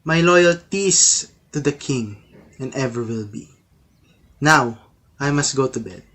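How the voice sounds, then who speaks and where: A man's voice: mid-range voice, animated, slow pace, fairly bright tone. A man playing a loyal, friendly nobleman and father in a radio drama, speaking earnestly.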